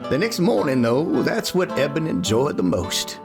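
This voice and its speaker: A man's voice: fairly high pitch, wildly swooping pitch, moderate pace. A man playing a folksy, easygoing cowboy who tells a story in an old-time radio play, full of homespun asides. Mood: lively, amused. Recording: guitar music playing behind.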